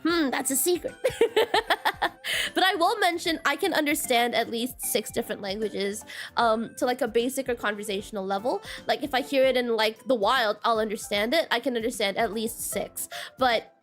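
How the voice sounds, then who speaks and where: A woman's voice: a little high, moderate pace, a bit bright, faint music behind. A woman whose first language is Mandarin chats casually with her viewers as a streamer, giggling often and rambling with lots of fillers.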